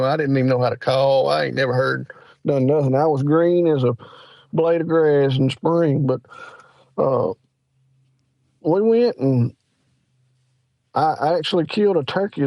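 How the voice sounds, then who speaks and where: A man's voice: on the high side, moderate pace, creaky, no room sound, muffled recording. A man in his forties with a Southern US accent, a guest telling rambling, folksy stories in a casual interview. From Mississippi, USA. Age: 40s. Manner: rambling, folksy, with lots of fillers. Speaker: guest hunter.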